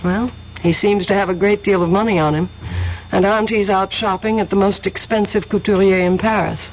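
A woman's voice: mid-range voice, fairly even intonation, moderate pace, close to an everyday voice. Actress as a sweet candid young woman in an old radio play, earnest and teasing, dull sound w/ faint hiss. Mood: troubled. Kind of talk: 1970s radio drama acting. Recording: dull, noisy 1970s radio recording.

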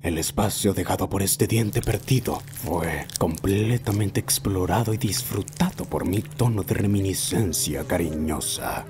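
A man voicing an obsessive old man who recounts his lifelong fixation in a solemn, deliberate horror narration. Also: low voice, background noise. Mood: brooding, solemn. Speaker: amateur narrator of a horror short. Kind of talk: scripted first-person horror narration.

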